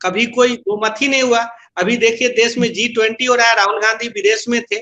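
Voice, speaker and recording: A man's voice: extremely high pitch, fairly even intonation, brisk, sharp tone, highs cut off. A man speaking as a political interviewee, explaining and arguing his points and slipping into English phrases. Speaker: political interviewee, party spokesman. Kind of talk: political interview, opinion and anecdote.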